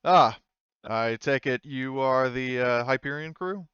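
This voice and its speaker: A man voicing a stern male frontier space marshal in a tabletop game, speaking in a measured, halting way. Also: medium pitch, fairly bright tone, clear, resonant tone, dry recording, lo-fi sound, natural voice. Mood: greeting.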